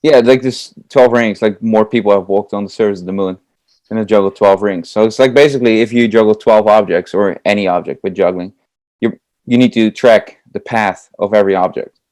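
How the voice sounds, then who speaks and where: Dutch guy talking juggling, kinda low voice, lots of likes and uhs, dry close sound. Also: brisk, a little mellow, dry recording. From Netherlands. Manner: eager, chatty, many fillers like "like" and "uh". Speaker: guest, comedy juggler. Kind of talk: casual interview answers.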